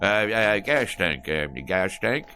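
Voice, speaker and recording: An actor playing a boastful, folksy old American gas station owner in a radio drama, rambling and fumbling for words. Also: male voice, on the low side, animated, slow pace, pushed and bright, exaggerated voice. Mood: flustered.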